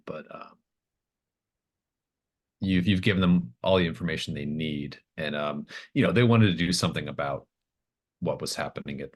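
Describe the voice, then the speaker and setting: A man with a very deep voice narrates a tabletop roleplaying game as its game master. He improvises as he goes, feeling his way hesitantly through each scene and thinking aloud.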